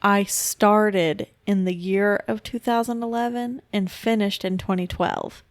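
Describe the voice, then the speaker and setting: A woman's voice: medium pitch, steady tone, unhurried, dry recording. A woman in her mid-twenties co-hosting a casual podcast, explaining things patiently and laughing easily. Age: 20s. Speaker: podcast co-host. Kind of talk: casual chat.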